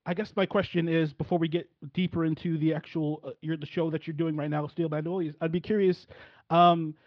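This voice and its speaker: Male podcast host, high-pitched, stumbling and rambling, dry lo-fi recording. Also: level tone, no echo. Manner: rambling, halting questions with many restarts. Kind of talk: interview podcast hosting. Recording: dry, dull lo-fi audio.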